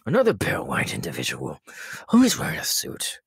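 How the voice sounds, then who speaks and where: Raspy, wildly swooping killer clown. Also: male voice, sits low, huge pitch swings, a little fast, no echo, studio quality, exaggerated voice. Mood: rambling, amused. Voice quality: raspy, breathy, gravelly, slipping into whispers. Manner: rambling, teasing, laughing at himself, erratic swoops. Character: tall inhuman killer clown, giggling, menacing, childishly candy-hungry. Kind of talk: in-character horror roleplay monologue.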